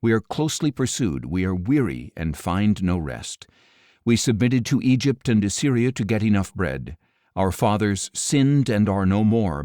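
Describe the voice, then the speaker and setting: A man narrating scripture as an audiobook, with a solemn, measured delivery and deliberate phrasing. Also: low voice, warm tone, no echo, clean studio sound.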